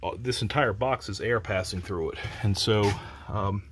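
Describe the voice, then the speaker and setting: A man's voice: lowish voice, moderate pace, slight background noise. A man in his forties, an HVAC contractor, explaining a test in a practical, plainspoken way inside a house under construction, filmed on a handheld phone. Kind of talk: instructional job-site vlog.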